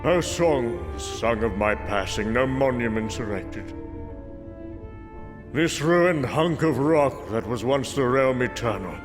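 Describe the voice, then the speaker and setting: A man's voice: medium pitch, lively intonation, slow. A man playing an old, weary Norse thunder god, solemn and grave, in a dramatic comic book narration. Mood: mournful resignation. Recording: long hall reverb over loud music. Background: bowed string music.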